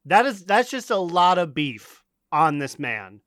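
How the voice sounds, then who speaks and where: A man's voice: high voice, big pitch swings, a little slow, fairly bright tone, dry recording, studio quality. A man co-hosting a podcast, bantering casually with a bawdy, joking manner. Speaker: podcast co-host.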